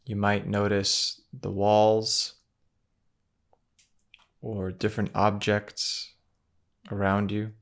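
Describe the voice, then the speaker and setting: A man with a low voice guides a meditation at a very slow pace. He speaks gently and in a measured way, leaving long silences between short phrases. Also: monotone.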